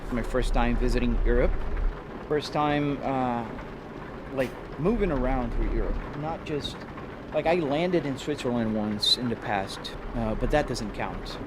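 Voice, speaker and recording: A man's voice: mid-range voice, unhurried, background noise. A Brazilian man whose first language is Portuguese, chatting casually with viewers on a live stream while driving a truck sim, greeting people by name. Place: home sim rig.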